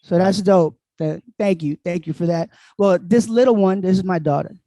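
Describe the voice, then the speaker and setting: A man's voice: high-pitched, mellow, dry recording. A man from Queens, a guest DJ chatting casually and laughing easily on a podcast, talking into a handheld mic. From Queens, New York. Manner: laid-back, laughs often, drawn-out explanations. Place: small bright room at home. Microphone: handheld dynamic mic held close.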